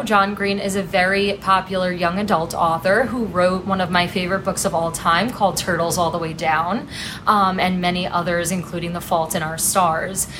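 Woman recommending a book, faint rumble. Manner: measured, explanatory, with filler ums. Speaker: library staff guest.

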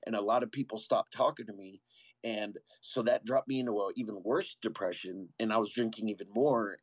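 A man's voice: medium pitch, no echo, rough recording. A man, a former Marine, telling his own story as an interview guest in a candid, earnest way, often stopping and restarting.

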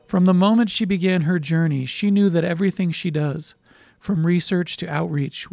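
Male podcast host from Utah, slightly high, gravelly voice, smooth scripted read. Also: darkish tone, dry recording. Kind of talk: scripted introduction and interview.

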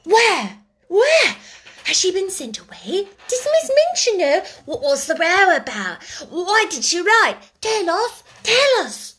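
Woman doing high-pitched, excitable schoolgirls. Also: heavily acted. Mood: astonished, clamouring. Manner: eager, rapid-fire questioning. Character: excitable, nosy schoolgirls clamouring for gossip.

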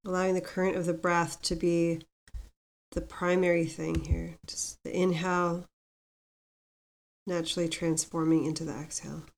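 A woman guiding a meditation as host, speaking gently and without hurry, leaving pauses between instructions. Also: on the low side, level tone, vocal fry. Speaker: meditation guide, podcast host. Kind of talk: guided meditation with mantra chanting.

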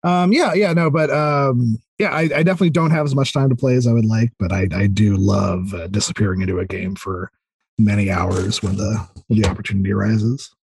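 A man talking as a podcast guest in casual interview chat, enthusiastic, informal and rambling, with a slightly husky voice. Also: fairly low pitch, brisk, darkish tone, dry recording. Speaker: podcast guest, audio drama creator.

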